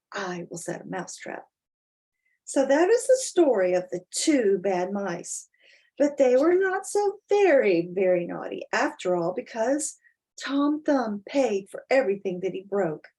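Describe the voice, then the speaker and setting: A woman with a slightly husky voice, reading a children's story expressively and chatting informally about it. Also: medium pitch, mellow. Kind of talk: dramatic storybook reading with casual explanatory asides.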